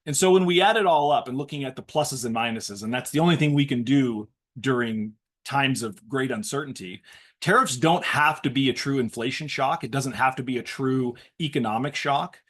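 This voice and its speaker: A man speaking as a financial panelist, giving measured, analytical commentary on economics in structured explanations. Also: mid-range voice, fairly bright tone.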